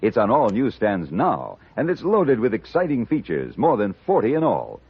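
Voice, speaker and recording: A man announcing a scripted 1950s radio advertisement with an emphatic sales delivery and a husky voice. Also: lowish voice, wildly swooping pitch, moderate pace. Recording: dull old radio recording.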